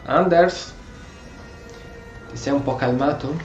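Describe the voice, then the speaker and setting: A man's voice: medium pitch, measured pace, reverberant, highs cut off, faint music behind. A man giving casual, reactive commentary as he plays a video game. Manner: animated, reactive. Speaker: gameplay streamer.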